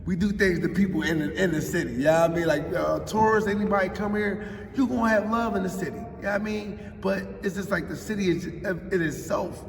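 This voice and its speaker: A man's voice: sits high, fairly quick, vocal fry, a little hiss or hum. Philly guy, 40s, emphatic street talk, recorded from afar in a big echoey hall. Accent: Philadelphia. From Philadelphia. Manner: street-talking, emphatic, often adds "you know what I mean". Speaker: interviewee. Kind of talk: casual interview answers. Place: large echoey public building. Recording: roomy hall echo, distant mic, faint ambience.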